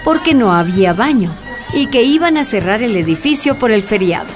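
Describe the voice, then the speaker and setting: A woman's voice: on the high side, lively intonation, a little fast. A woman narrating a scripted radio drama. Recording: music and crowd sounds behind.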